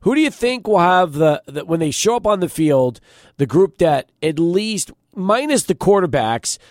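Slightly hoarse male sports host. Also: high voice, normal speed, no room sound, clean studio sound. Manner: conversational, lively questioning. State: slightly hoarse, deeper than usual. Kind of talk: studio sports talk. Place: radio studio.

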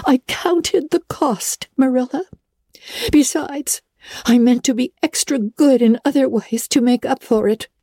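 A woman voicing a dramatic, imaginative orphan girl who speaks high, breathless and tearful, often dropping to a whisper. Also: unhurried, warm tone, dry recording. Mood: pleading. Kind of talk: audiobook character reading.

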